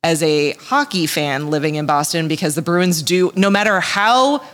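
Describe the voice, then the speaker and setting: A woman in her thirties from Montreal, co-hosting a podcast and telling stories in a quick, animated way. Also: on the low side. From Montreal, Canada. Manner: animated storytelling, quick bursts. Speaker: podcast co-host. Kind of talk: casual chat. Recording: long hall reverb.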